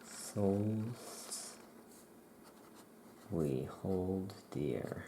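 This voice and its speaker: A soft-spoken ASMR host murmuring thoughts aloud in halting short phrases, close to the microphone, while writing. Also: deep voice, expressive, creaky, a little hiss or hum. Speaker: ASMR host playing a witch shopkeeper.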